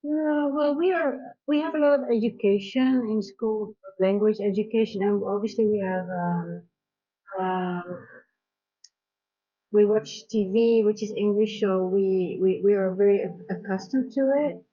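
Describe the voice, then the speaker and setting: A woman's voice: mid-range voice, steady tone, measured pace, muffled recording. A woman from the Netherlands with a light Dutch accent, chatting frankly on her own live trading stream, rambling with many fillers and restarts. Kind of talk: casual live-stream chat. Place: home in South Africa.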